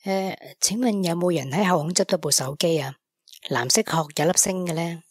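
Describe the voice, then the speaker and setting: A woman's voice: lowish voice, normal speed, no room sound, clean studio sound, lightly acted. A woman with a Hong Kong Cantonese accent voicing an earnest teenage boy in a radio drama, who talks in a stammering, sulky way. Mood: puzzled. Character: earnest teenage boy, devoted to his mother.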